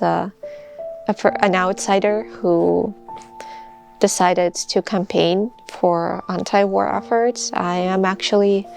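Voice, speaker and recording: A young woman from Russia in her twenties, answering interview questions in an earnest, hesitant way with many pauses and fillers, recorded outdoors on a campus. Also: mid-range voice, vocal fry. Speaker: master's student speaking about a protest.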